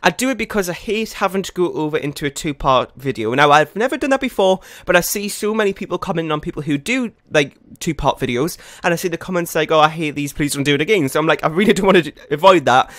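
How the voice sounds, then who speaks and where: Fast-talking young man, croaky morning voice. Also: a little high, expressive, fairly bright tone, no room sound, clean studio sound. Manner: fast, rambling talk with many fillers. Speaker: game building YouTuber. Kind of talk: casual gameplay voiceover. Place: his bedroom at home.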